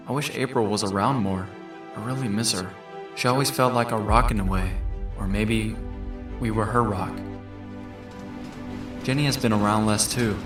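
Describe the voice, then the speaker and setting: A man's voice: medium pitch, close to an everyday voice. A man playing a thoughtful, lonely teenage mutant turtle in an earnest, introspective monologue for a comic dub. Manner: earnest, reflective. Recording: roomy, distant mic under loud music.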